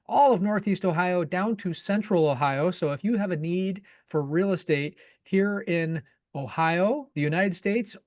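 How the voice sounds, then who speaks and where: A man hosting a real estate show, talking in an energetic, promotional way and asking his guest questions. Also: high-pitched, no room sound, studio quality. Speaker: podcast host, realtor. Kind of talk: upbeat show intro and interview.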